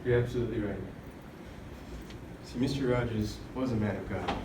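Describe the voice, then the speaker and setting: A man's voice: fairly low pitch, normal speed. A young adult man explaining earnestly in a group conversation. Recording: distant mic in echoey noisy room.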